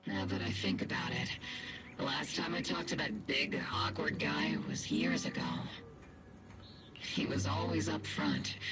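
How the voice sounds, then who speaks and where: A hard-nosed, suspicious woman captain in a video game drama. She speaks bluntly and presses with pointed questions. The sound is echoey, with loud music underneath. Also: deep voice. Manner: pointed, interrogating.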